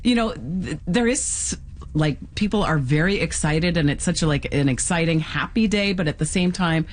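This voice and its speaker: A woman's voice: deep voice, moderate pace. A woman giving a live news report, chatty and full of fillers. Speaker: field reporter.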